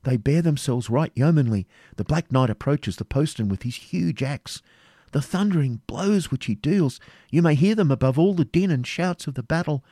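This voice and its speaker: An anguished young woman , as acted by a male reader, cries out what she sees of a battle in a storybook tale. The pitch is lifted well up, with fervent, breathless repetitions. The sound is very dry. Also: animated, normal speed, dark tone, no room sound. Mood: excited, fervent. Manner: breathless exclamations, urgent repetition. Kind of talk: dramatic audiobook character reading.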